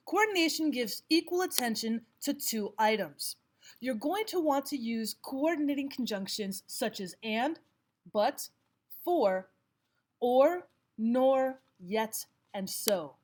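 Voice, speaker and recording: A woman teacher giving scripted instructional narration, explaining and reading examples out deliberately. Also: on the high side. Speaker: language arts teacher.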